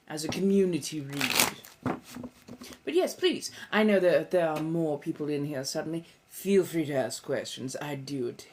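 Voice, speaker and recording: A woman acts out an ancient vampire empress and tarot witch in a lowish female voice. She speaks in an affected posh British accent, coy and theatrical, lingering over her endearments as she chats with viewers on a livestream. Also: noisy background. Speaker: tarot reader hosting a live stream. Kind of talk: in-character livestream chat.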